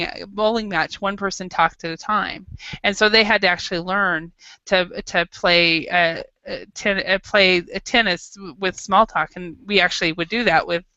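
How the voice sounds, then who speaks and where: A woman's voice: medium pitch, sharp tone, smooth, dry recording, muffled recording. A woman teaching a small talk lesson to an adult class, thinking aloud with frequent restarts. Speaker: English teacher.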